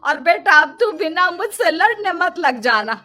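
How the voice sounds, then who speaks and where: Cartoon mother-in-law, played by a woman: high, forceful, scolding and threatening, lively swings. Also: unhurried, soft background music, full character voice. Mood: angry, complaining. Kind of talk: Hindi children's cartoon dubbing.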